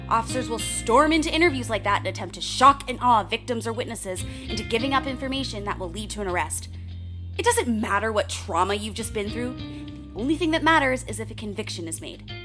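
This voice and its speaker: A woman's voice: fairly high pitch, close to an everyday voice. A woman playing a blunt, sardonic woman in her thirties giving candid first-person narration in a radio play. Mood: bitter, angry. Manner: frank, sardonic, swears.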